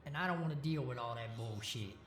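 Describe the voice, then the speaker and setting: A man voicing a brash, cocky young man who talks loud and crude. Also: medium pitch, unhurried, reverberant, faint music behind, natural voice. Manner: loud, swaggering, profane.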